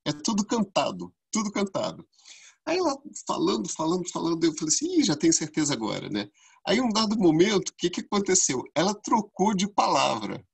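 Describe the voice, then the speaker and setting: A man co-hosts a relaxed podcast chat. His voice is slightly husky and pitched a little high. He tells lively stories and leaps even higher when he acts out what people said. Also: fairly quick. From Brazil. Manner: animated storyteller, voice jumps high when acting out quotes. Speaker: podcast co-host.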